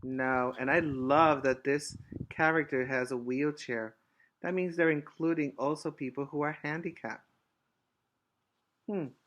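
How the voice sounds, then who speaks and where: Man as preschool teacher reading to little ones: slow, emphatic, bright delivery, stops to explain and ask questions. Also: a little high, lo-fi sound. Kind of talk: expressive storybook read-aloud with asides to children.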